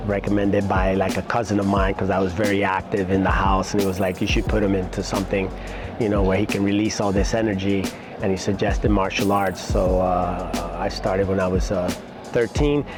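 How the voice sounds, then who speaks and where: A middle-aged man, talking casually and haltingly in an interview as a martial arts instructor, with many pauses. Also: lowish voice. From Puerto Rico-born, raised in New York City.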